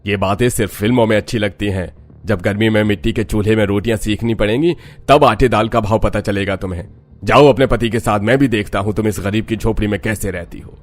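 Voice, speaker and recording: A man's voice: on the low side, a little fast, lightly acted. A man playing an angry, disdainful rich middle-aged father in a Hindi cartoon story, scolding in a stern, theatrical way. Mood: bitter, challenging.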